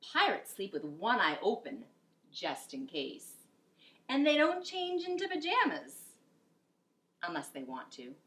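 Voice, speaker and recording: Female storybook reader, slow and expressive, bright voice with lively pitch swings. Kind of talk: expressive children's story read-aloud.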